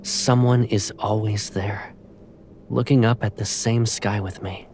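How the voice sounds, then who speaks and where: A man playing a confident, tender young pilot in a romantic game drama, speaking softly and intimately. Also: lowish voice, steady tone, measured pace, a little hiss or hum. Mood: pensive, quiet.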